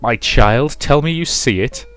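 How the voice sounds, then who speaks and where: A man's voice: mid-range voice, lively intonation, a little slow, fairly bright tone, clean tone. A man voicing a domineering, suspicious mother who presses her child insistently, in a visual novel. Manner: insistent, probing, emphatic. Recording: music behind the voice.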